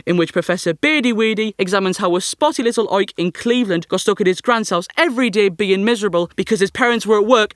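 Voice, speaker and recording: An actor playing a sickly, self-pitying teenage schoolboy with a North East English accent in an audio drama monologue, glum and sardonic. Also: male voice, high-pitched, fairly bright tone, dry recording, clean studio sound. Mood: bitter, sarcastic. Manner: glum, complaining, melodramatic.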